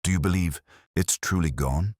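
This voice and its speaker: A man voicing a detective's loyal gentleman companion in an audiobook, plain and inquiring. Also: low-pitched, moderate pace, dry recording, clean studio sound. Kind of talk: audiobook character reading.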